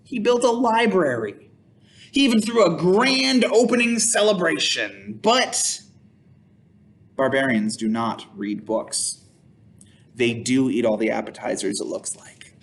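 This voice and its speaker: A man's voice: medium pitch, animated, slight background noise. A man reading a picture book aloud to children as a storytime host, theatrical and exclaiming, with playful asides. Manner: theatrical, exclaiming, drawn-out emphasis.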